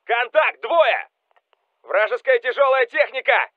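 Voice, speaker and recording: An actor playing a Russian special forces soldier calling out commanding military game lines over a radio. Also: male voice, very high voice, big pitch swings, measured pace, no room sound, phone-quality sound, exaggerated voice. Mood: alert, firm. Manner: urgent, commanding.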